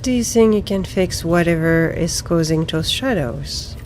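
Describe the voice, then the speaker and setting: A woman playing a video game in character, talking deliberately and softly to her companions as she commentates. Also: lowish voice. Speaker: gamer roleplaying her own character. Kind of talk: immersive roleplay game commentary. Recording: game music behind her.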